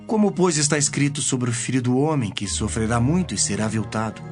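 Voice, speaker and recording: Guy acting solemn authoritative teacher in a bible audio drama, brazilian accent, measured, music behind. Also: mid-range voice, lively intonation, natural voice. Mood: solemn teaching.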